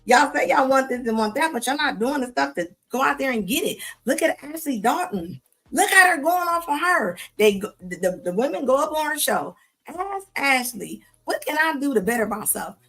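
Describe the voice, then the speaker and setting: Sassy, scolding woman with a high voice. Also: lively intonation, normal speed, a bit bright, breathy edge. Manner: sassy, scolding, repeats herself, casual slang. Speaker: host reacting to clips. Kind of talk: live commentary on videos.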